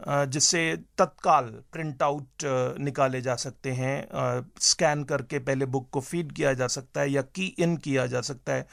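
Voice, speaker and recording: A man who works as a teacher and trainer, giving measured, explanatory interview answers with hesitant pauses. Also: mid-range voice, vocal fry, a bit bright, dry recording, clean studio sound.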